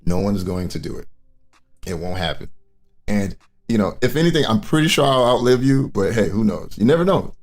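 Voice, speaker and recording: A man's voice: animated, fairly quick. A man doing casual, profane reaction commentary, blunt and mocking, often repeating himself for emphasis. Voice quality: deep voice. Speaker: commentary YouTuber reacting to clips.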